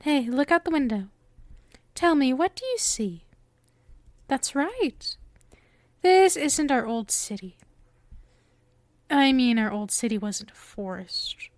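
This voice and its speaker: A woman's voice: high-pitched, big pitch swings, takes it slowly, long pauses, no room sound, exaggerated voice. A woman playing a sweet, possessive witch shopkeeper in an ASMR roleplay, coy, teasing and theatrical in her affection. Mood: smug, sweetly sinister. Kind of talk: ASMR roleplay, intimate scripted acting.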